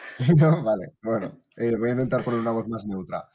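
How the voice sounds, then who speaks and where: A man hosting a casual podcast chat and running a quiz, relaxed and laughing often. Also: mid-range voice, fairly quick, slightly rough. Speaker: podcast host.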